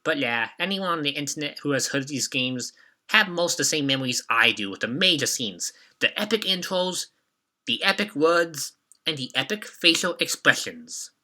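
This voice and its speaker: Young male reviewer with a sharp, forceful, very bright voice, reading scripted retrospective commentary. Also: a little high, animated, normal speed. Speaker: video reviewer and host.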